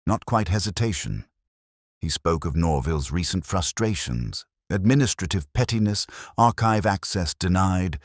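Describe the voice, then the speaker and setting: A man with a British accent narrating a detective story in the first person, measured, brooding and wry. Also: rumbling low, breathy edge, no echo, studio quality. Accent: British English.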